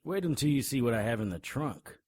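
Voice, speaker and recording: An actor playing a tough, wisecracking monster hunter in an audio drama, grim and cocky, with a husky voice. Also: male voice, medium pitch, very animated, a little fast, darkish tone, dry recording, clean studio sound. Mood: wry narration. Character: hard-boiled male monster hunter, grim, cocky, threatening. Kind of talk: audio drama acting with noir first-person narration.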